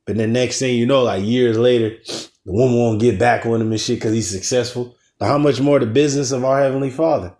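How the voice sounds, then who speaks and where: A man of ordinary pitch delivers a religious lesson in a relaxed, street-slang style. He curses freely and pushes his voice up to stress his points. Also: a little fast. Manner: loose, slangy preaching with cursing. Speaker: teacher in a religious ministry. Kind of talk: casual scripture lesson.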